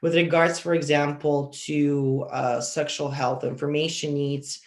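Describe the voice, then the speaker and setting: A man giving an academic conference presentation, earnest and measured, with frequent ums and uhs. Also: on the high side, little pitch movement.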